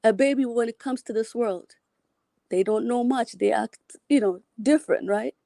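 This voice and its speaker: Woman, Ethiopian accent, call-in guest, rambling, slightly high, gravelly. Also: normal speed, mellow, dry recording, clean studio sound. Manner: rambling, philosophical, repeats words. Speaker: caller. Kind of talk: casual call-in chat.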